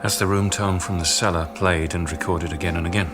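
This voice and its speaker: A wry male sound researcher in a radio drama, played by an actor. He speaks in a fairly low voice with dry, grumbling humour, over clearly heard music. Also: steady tone, a little fast, lightly acted. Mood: intent, explaining. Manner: sardonic, dry-witted. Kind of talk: naturalistic radio drama dialogue.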